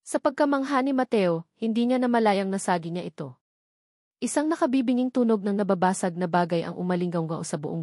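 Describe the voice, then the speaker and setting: Female storyteller for dramatic romance narration, medium pitch, dry studio-like sound. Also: darkish tone, no echo.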